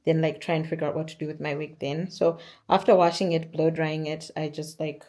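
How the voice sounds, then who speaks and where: A woman in her twenties with a Zimbabwean accent chatting casually to her camera at home, rambling with many fillers, her naturally deep voice tired and strained from singing. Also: little pitch movement, brisk, mellow. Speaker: vlogger. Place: at home, indoors. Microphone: camera or phone on a stand.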